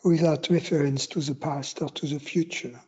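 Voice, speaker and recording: A man teaching meditation, giving guided instructions in short, unhurried phrases with long pauses between them. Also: fairly high pitch, steady tone, a little mellow, slightly rough, lo-fi sound.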